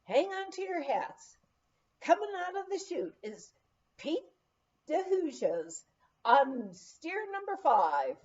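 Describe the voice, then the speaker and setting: A woman reading a memoir aloud, voicing an excited male rodeo announcer with drawn-out, hyped calls. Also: high-pitched, highs cut off, pitched above the performer's own voice, full character voice.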